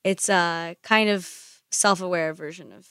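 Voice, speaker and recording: A woman's voice: fairly low pitch, fairly even intonation, a little slow, a bit bright, smooth, no room sound. A young woman singer whose first language is Spanish, chatting in a studio interview with lots of "like" fillers and frequent laughs. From United States. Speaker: singer guest. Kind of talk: casual interview chat. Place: radio studio. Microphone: studio mic.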